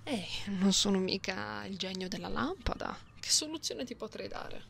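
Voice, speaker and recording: A woman playing a gentle, playful cat-girl in an ASMR roleplay, speaking softly and intimately, often slipping into whispers. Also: mid-range voice, animated, vocal fry. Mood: gentle, reassuring. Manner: slow, soothing, intimate, teasing, with meows and purry hums. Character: gentle, playful genetically modified cat-girl comforting someone lonely. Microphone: close binaural microphone. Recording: close binaural recording with faint background noise.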